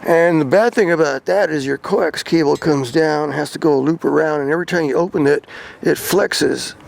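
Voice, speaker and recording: An American man with a gravelly voice, talking casually and informally as a hobbyist vlogger. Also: on the high side, moderate pace. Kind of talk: casual hands-on gear talk.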